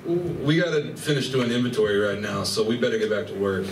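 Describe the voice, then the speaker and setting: A man's voice: medium pitch, fairly quick, close to an everyday voice. Man acting a grumpy, exasperated guy in echoey room. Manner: wry, complaining. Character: grumpy, exasperated furry male alien in disguise. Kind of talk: live radio play acting. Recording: echoey live venue, distant mic, clear noise.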